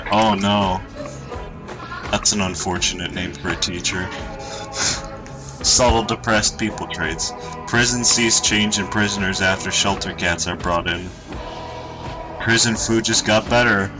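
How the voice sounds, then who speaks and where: Deep-voiced monotone male streamer. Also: unhurried, pauses often, over music. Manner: monotone, dry. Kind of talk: casual stream commentary, reading aloud. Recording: noisy home stream recording.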